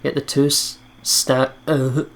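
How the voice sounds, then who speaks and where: A man's voice: mid-range voice, flat delivery, slow. A man who plays games for viewers, reading a visual novel's narration aloud. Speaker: let's player. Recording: game music behind the voice.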